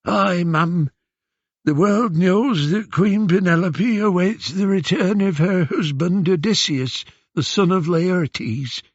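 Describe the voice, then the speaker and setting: A man voicing a humble old beggar in a storybook, deferential and rustic, with a hoarse, whining voice. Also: high voice, slow pace, dry recording, lo-fi sound, voice pushed up. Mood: humble, reassuring.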